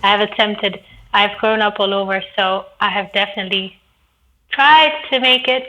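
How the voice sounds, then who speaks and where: Woman from Ethiopia, bright tone, playful and laughing, podcast guest. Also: mid-range voice, steady tone, moderate pace, slight background noise, low-quality recording. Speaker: chef guest. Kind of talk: casual interview chat.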